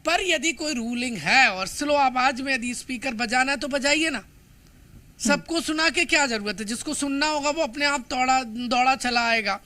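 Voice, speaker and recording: A man's voice: very high voice, normal speed, pushed and bright. A man with an Indian accent giving an emphatic, opinionated live TV report. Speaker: news reporter.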